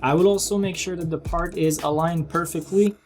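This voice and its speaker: A man giving an informal, step-by-step explanatory narration as a software demonstrator. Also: a little high, steady tone, a little fast, faint music behind.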